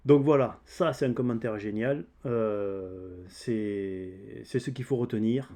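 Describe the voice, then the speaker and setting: Male DIY vlogger, southern French accent, soft-edged relaxed tone, unscripted and hesitant delivery that wanders as he explains. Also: lowish voice, slow pace. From south of France. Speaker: DIY video channel host. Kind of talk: casual unscripted vlog talk.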